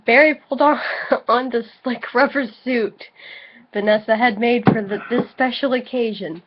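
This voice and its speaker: A woman's voice: a little high, normal speed. A slightly tipsy woman bantering casually and reading aloud for comic effect, informal, profane and giggly. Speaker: co-host of a dramatic reading video. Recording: dull lo-fi home recording.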